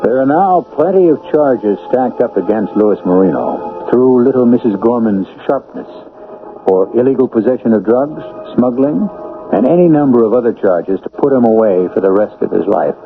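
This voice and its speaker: An actor as a matter-of-fact narrator of a radio crime story, reading from a script. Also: male voice, mid-range voice, lively intonation, normal speed, lightly acted. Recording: muffled radio broadcast, faint music behind.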